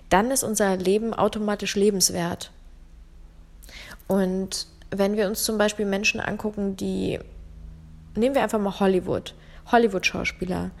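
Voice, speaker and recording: A woman's voice: mid-range voice, a little mellow. A young adult woman from Ukraine hosting a solo podcast, talking in a reflective, conversational way with frequent fillers. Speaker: podcast host.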